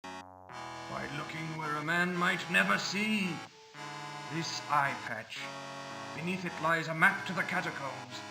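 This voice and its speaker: A high-pitched, bitter old paladin ghost, as a man voices him in a retro video game. He speaks in solemn, grandiose tones. The voice rings out with echo over plucked guitar music. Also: takes it slowly, gravelly, pauses often. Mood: commanding.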